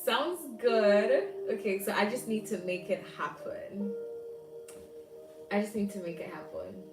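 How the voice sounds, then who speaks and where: A woman's voice: medium pitch, unhurried, far from the mic, over music. Young Jamaican woman, echoey stream. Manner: chatty, answers viewer comments aloud. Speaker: livestream host. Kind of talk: casual livestream chat.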